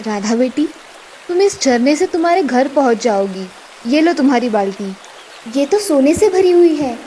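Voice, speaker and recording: A woman's voice: sits high, animated, moderate pace, dark tone, a little hiss or hum. A woman voicing a kindly old forest grandmother in a children's cartoon story. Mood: gentle, caring.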